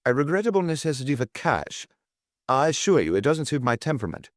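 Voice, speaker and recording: A man's voice: mid-range voice, big pitch swings, fast talker, no echo, pitched above the performer's own voice, natural voice. A man voicing a breezy, wry young adventurer working as a tour guide, with a dry, teasing manner.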